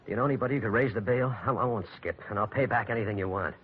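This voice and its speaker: Bitter young American convict, husky voice, rough slangy talk, desperate, emotional 1940s radio acting, dull recording. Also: male voice, on the low side, very fast. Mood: desperate pleading. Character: bitter, desperate young male jailed tough guy.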